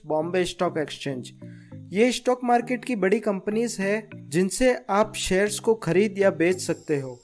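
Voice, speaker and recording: A man's voice: sits high, a little mellow. A man narrating a scripted beginner tutorial in an instructive way, addressing listeners as friends. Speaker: host of a stock market tutorial channel. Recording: voice-over with music behind. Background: background music.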